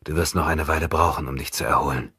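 A man's voice: extremely low pitch, fairly even intonation, fast, sharp tone, breathy edge, dry recording, studio quality, full character voice. A man voicing a grim, protective avenging hero in an audiobook, terse and controlled. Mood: subdued, reassuring. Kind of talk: audiobook character dialogue.

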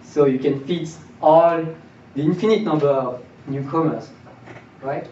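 A young man with a slightly high voice lectures informally, posing questions and checking in with his listeners. He is picked up from a distance in a small seminar room, with strong echo. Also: a little slow, dark tone. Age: young adult. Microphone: far camera. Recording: distant roomy mic, dull, faint noise.

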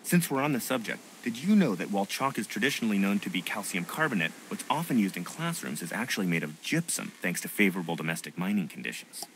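An actor playing an earnest, bookish and anxious young male survivor in an animated video game. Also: on the low side, fast talker, few pauses, a little hiss or hum. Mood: chatty, pedantic.

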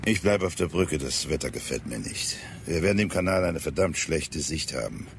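An actor playing an authoritative, deliberate ship's captain in a German radio drama, with hesitant pauses. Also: male voice, a bass voice, lively intonation, a little hiss or hum. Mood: casual, commanding. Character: gruff, measured male British ship's captain.

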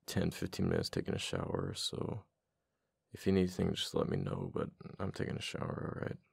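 A man playing a tired, affectionate husband in a boyfriend ASMR audio roleplay, talking in a casual, halting, mildly exasperated way with a deep, gravelly voice. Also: sing-song, no room sound, clean studio sound, heavily acted. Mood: gently exasperated. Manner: casual, halting, sighing, intimate.

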